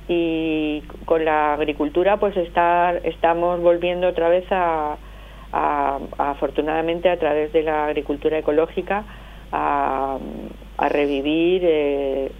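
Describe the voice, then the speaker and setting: A middle-aged woman with a Madrid Spanish accent comes through a thin, tinny phone line. Her voice sits a little low. She explains things at length, with lots of pauses. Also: flat delivery. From Madrid, Spain. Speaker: association spokesperson, phone guest. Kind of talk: phone-in radio interview.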